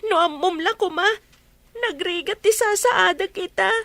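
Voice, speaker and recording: A woman playing a high-voiced pleading student. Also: measured pace, fairly bright tone, dry recording. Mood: tearful. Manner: pleading, tearful. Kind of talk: Ilocano radio drama acting.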